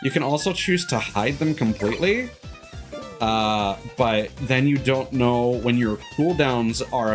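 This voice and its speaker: A male live streamer talks in a medium-pitched voice over background music. He rambles casually through game commentary, self-deprecating and loose with swearing. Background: cartoonish upbeat game music.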